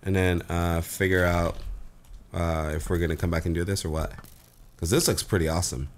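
Man, twenties, very low deep voice, raspy from illness, headset, casual gameplay talk, faint hiss. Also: fairly even intonation, fairly quick. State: sick, raspy voice. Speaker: co-host of a Minecraft series. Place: home room, indoors.

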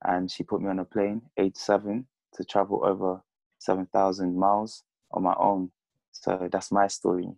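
A man's voice: low voice, monotone, moderate pace, creaky, a little mellow, no room sound, poor audio. A man in his twenties from Congo and the UK, a French speaker, chatting casually in an interview over a video call. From Congo, Zambia and the UK. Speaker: boxer, interview guest. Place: indoors, plain room at home. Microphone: earphones on a video call.

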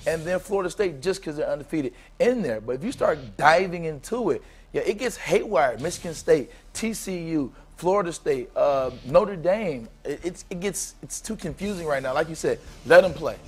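A man's voice: a little high, husky. A man in his thirties, a former player, arguing his picks animatedly on a studio sports talk show. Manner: animated, argumentative, rapid lists. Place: studio set with couches. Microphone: lapel.

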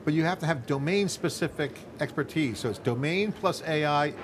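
A middle-aged man hosts a tech interview in a conversational, rambling way, often restarting his sentences. He's on a lapel mic in a studio set. A clear murmur of floor ambience sits behind him. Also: a little high, expressive, normal speed, noisy background. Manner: loose, rambling, thinks aloud with restarts. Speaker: host.

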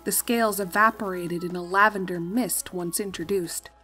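A woman's voice: on the low side, steady tone, normal speed, sharp tone, faint music behind. A woman reading scripted storybook-style narration as the narrator of a fan fiction tale.

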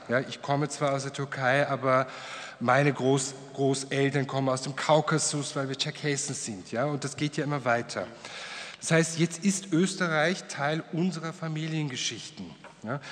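A middle-aged man moderating a panel discussion, reflective, tagging sentences with questions, at a table microphone. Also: fairly high pitch. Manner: reflective, frequent tag questions. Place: wood-panelled hall, seated at panel table. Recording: long hall reverb.

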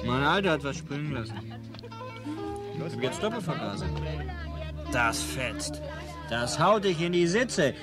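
A brash young loudmouth in a radio play, with a raised, swooping voice and slangy swagger. Loud music plays behind him as he shows off like the rowdiest drinker at the bar. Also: on the high side, very animated, unhurried, pauses often. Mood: excited, swaggering. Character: boastful, rowdy young male drinker and car show-off. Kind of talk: German radio play acting.

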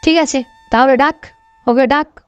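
A woman's voice: high-pitched, unhurried, soft background music. A woman voicing a proud younger sister and mother in a cartoon morality tale, in a dull, lo-fi recording. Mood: haughty, irritated. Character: haughty, dismissive younger sister and mother, later humbled.

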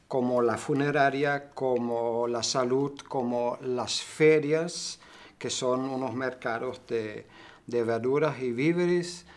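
An older man from Germany, seated indoors, slowly explaining his cooperative in an interview with many pauses and restarts. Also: mid-range voice. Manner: slow, halting, many fillers and restarts. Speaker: cooperative member. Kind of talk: interview answers. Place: bright room by large windows.

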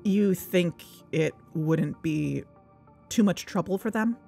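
A woman's voice: on the low side, takes it slowly, vocal fry, dark tone, faint music behind. A woman acting as an earnest, insistent podcast host in a conversational audio drama. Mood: worried.

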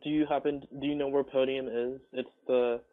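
A man handles a customer service call for a cinema. He is polite but halting and tends to repeat himself. His mid-pitched voice comes through a narrow, tinny phone line. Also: level tone, a little hiss or hum. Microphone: telephone call.

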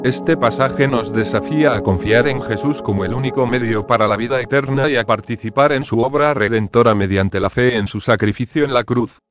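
Man, steady devotional narration, mid pitch, dull lo-fi sound, music underneath. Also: fairly quick. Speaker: gospel reflection narrator.

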